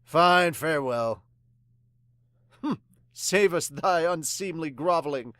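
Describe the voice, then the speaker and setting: A woman's voice: low voice, expressive, takes it slowly, fairly bright tone, dry recording, clean studio sound, full character voice. A woman voicing a haughty male magistrate with stern, pompous, archaic speech in a manga dub. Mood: stern, scornful.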